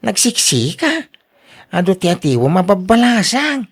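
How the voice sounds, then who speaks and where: A performer acts a love-struck, insistent young suitor in a Filipino radio drama. The mid-pitched voice swoops up and down, emphatic and quick to protest, with a Filipino accent. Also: sing-song, husky, full character voice. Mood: wooing. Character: smitten, insistent younger suitor of older woman. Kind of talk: Ilocano radio drama acting.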